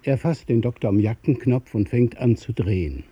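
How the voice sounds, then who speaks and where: A man narrating a radio play in a measured, literary way. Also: mid-range voice, animated, breathy edge, muffled recording.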